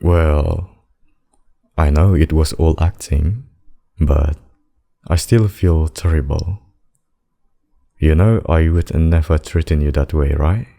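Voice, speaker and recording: A man voicing a possessive demon king and lover in a scripted ASMR roleplay, speaking deliberately and intimately. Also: rumbling low, gravelly, dark tone, full character voice. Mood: tender, concerned. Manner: slow, deliberate, intimate addresses with pet names. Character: possessive demon king, menacing abductor turned tender secret lover.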